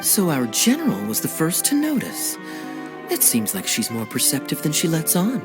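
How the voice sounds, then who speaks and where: A woman's voice: low voice, wildly swooping pitch, moderate pace, over music. A woman playing a teasing, perceptive knight in a video game cutscene, with a sly, insinuating manner. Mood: teasing, musing.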